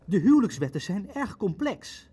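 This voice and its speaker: Formal, higher-voiced male bureaucrat. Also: very animated, moderate pace, dark tone, husky, full character voice. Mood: indignant, objecting. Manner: formal, precise, argumentative. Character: male Nazi official, legalistic, cautious, objecting bureaucrat. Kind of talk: Dutch audio drama acting, naturalistic meeting dialogue.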